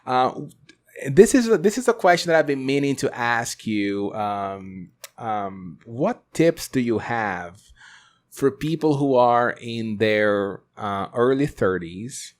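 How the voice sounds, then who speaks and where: A man's voice: medium pitch, lively intonation, slow. A man whose first language is Portuguese, hosting a podcast, asking hesitant, encouraging questions. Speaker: podcast host. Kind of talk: casual interview chat.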